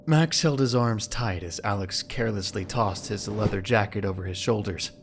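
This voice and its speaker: Male narrator, lower-pitched, dramatic scripted storytelling, with clear background noise and ambience. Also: normal speed.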